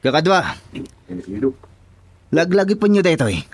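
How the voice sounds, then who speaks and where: A man's voice: fairly high pitch, very animated, measured pace, breathy edge, no room sound, heavily acted. An actor playing a cautious, scheming henchman in a radio drama, speaking with an Ilocano accent. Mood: scheming, impatient. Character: scheming henchman, cautious and loyal to his boss.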